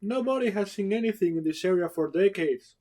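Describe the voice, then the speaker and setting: Young female forager played by a man: raised pitch, eager, complaining, pleading, for an adventure story reading. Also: animated, brisk, mellow. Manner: whiny, pleading.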